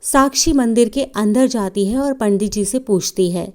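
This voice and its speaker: A woman's voice: a little high, normal speed, dark tone. A woman narrating a scripted children's story as a storyteller.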